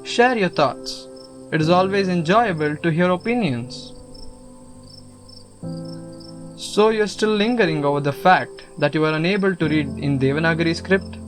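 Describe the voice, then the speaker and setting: A man playing a gentle guru, higher-pitched voice. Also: moderate pace, close to an everyday voice. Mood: patient questioning. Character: calm, kindly male guru counselling a troubled student. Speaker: student actor. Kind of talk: scripted audio drama acting. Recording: music under the voice. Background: music.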